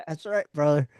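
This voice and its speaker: A man's voice: fairly high pitch, a little mellow, no echo, studio quality. A man in his sixties hosting a live online radio chat, joking and laughing often. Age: 60s. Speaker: radio show host.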